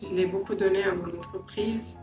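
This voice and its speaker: A middle-aged woman from Angola and England giving a personal interview, reflective and hesitant, often pausing mid-sentence. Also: mid-range voice, steady tone. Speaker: interviewee, entrepreneur and language teacher. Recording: roomy echo, distant mic, faint music.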